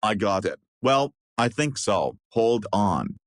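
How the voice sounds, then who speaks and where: A man voices a well-meaning, bumbling dog hero for a homemade cartoon. The voice is fairly low and sounds earnest and reassuring, recorded dry with no room sound. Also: sing-song, slow pace, no echo. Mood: helpful. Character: helpful, slightly bumbling cartoon dog superhero.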